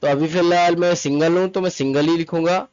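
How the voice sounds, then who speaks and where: A man presents an informal tutorial in a somewhat high-pitched voice. He instructs step by step, repeating himself and dropping in English terms. The sound is very dry and a bit dull and lo-fi. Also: fairly even intonation, fairly quick, darkish tone, clean tone, dry recording. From Mumbai, India. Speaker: tutorial presenter, travel agent. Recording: dull lo-fi close dry recording. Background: voice-over to screen recording.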